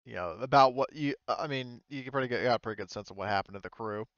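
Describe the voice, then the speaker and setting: A man's voice: mid-range voice, fast, fairly bright tone, no echo, highs cut off. A man talking casually as game master of a tabletop game, easygoing and prone to swearing.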